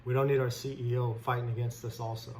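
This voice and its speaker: A man's voice: medium pitch, flat delivery, fast talker. A man who hosts stock commentary, delivering an unscripted, blunt, indignant rant in informal language. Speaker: stock trader host giving commentary. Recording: clear background noise.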